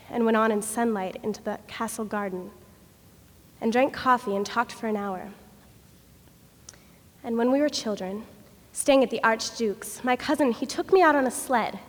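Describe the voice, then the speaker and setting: A woman with a fairly high voice recites poetry as if telling a story. Her delivery is expressive and theatrical, with a long hall reverb around it. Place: large indoor hall.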